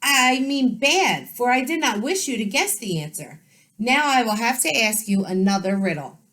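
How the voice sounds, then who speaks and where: A woman's voice: on the high side, animated, moderate pace, fairly bright tone, pitched above the performer's own voice. A woman voicing a silly, fickle, blustery loony king who exclaims and ponders aloud in a children's storybook. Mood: exclaiming, flustered.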